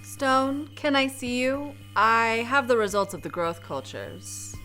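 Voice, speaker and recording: A woman's voice: a little high, slow pace, bright, forceful tone, soft background music. A woman playing an assertive, sardonic research scientist in a radio drama. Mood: assured.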